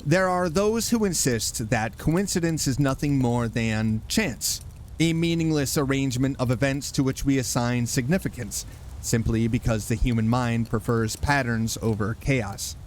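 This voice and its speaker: A man with a mid-pitched voice narrates as host of a horror show. His delivery is a scripted, campfire-style introduction, with faint noise behind it. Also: normal speed.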